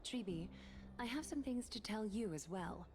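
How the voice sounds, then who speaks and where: A woman playing a dignified, gently commanding female leader in a video game, speaking in a measured, formal, breathy way. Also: mid-range voice, lively intonation, noisy background, natural voice. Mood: gracious, composed. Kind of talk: anime-style game voice acting.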